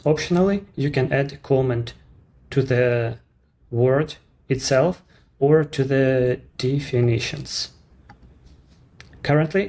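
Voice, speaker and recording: A man speaking English with a Ukrainian accent, his voice sitting at an ordinary middle pitch. He explains each step of a screen-recorded software walkthrough in a measured, unhurried way, with the odd non-native turn of phrase. He is a native Ukrainian speaker presenting his own service.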